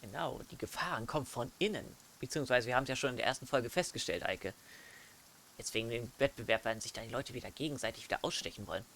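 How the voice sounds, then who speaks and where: A man's voice: mid-range voice, fast, a bit bright, a little hiss or hum. A man who is a native German speaker, giving casual, hesitant gaming commentary as a let's play host. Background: game music.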